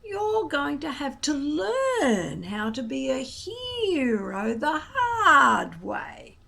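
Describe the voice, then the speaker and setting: A woman voicing a wise, patient but gruff old Viking grandfather in a children's storybook, coaxing with emphatic, drawn-out words. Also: high voice, wildly swooping pitch, pushed and bright, pitched above the performer's own voice, heavily acted. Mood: blunt.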